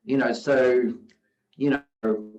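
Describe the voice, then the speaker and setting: A middle-aged man from South Africa, a guest investor giving measured, reflective interview answers and often saying "you know", at a live event. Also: mid-range voice, steady tone, a little mellow. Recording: roomy, distant, dull sound.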